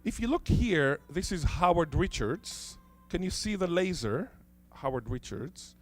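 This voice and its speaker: A man whose first language is Hebrew, giving a conference talk in a lecture hall, posing rhetorical questions and stressing key words deliberately. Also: a little high, animated, soft background music. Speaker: conference speaker.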